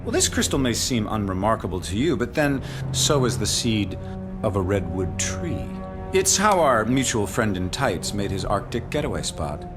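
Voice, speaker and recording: A theatrical, gloating criminal mastermind. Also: male voice, on the low side, big pitch swings. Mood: smug, taunting. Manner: theatrical, pompous, drawn-out menace. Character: gloating male criminal mastermind villain, smug, grandiose, theatrical. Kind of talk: video game cutscene acting. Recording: loud music under the voice.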